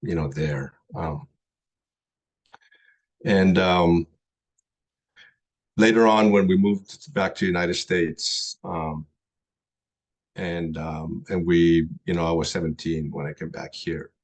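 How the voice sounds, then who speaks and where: A middle-aged man who grew up in Colombia tells his own story to a group, pausing and restarting often. His voice sits very low and is slightly husky. He speaks in a flat, even tone. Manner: halting, many ums and restarts. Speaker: guest speaker. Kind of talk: personal testimony talk.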